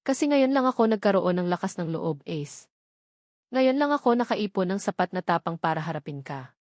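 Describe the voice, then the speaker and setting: A woman's voice: fairly low pitch, lively intonation, brisk, a little mellow, dry recording, clean studio sound, natural voice. A woman voicing a wounded, defiant young mother in a story, firm and dramatic. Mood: hurt, defiant. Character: wounded, defiant young mother confronting her former lover.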